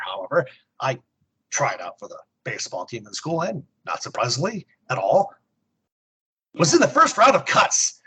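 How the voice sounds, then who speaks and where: A middle-aged American man co-hosting a podcast, chatting casually in a halting, wry way. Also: medium pitch, a bit bright, slightly rough, poor audio. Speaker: podcast co-host. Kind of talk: casual chat about old comics.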